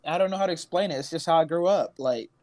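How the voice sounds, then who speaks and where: A man's voice: fairly high pitch, quick pace, a little mellow, no room sound. A young man from Tanzania, a podcast co-host telling stories in laid-back, slangy casual chat. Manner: laid-back storytelling, laughs often, slangy.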